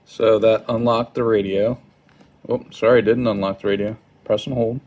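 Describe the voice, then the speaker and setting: A man giving an informal hands-on product tutorial in a hesitant, halting, apologetic manner. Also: on the low side, steady tone, a little mellow, slight background noise. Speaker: product demonstrator. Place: indoors at a wooden table.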